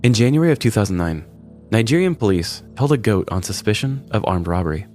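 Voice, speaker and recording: A man's voice: fairly low pitch, lively intonation, a little fast, a little mellow, faint music behind. A man, a podcast host, narrating a scripted comic story in a wry, dramatic storytelling manner.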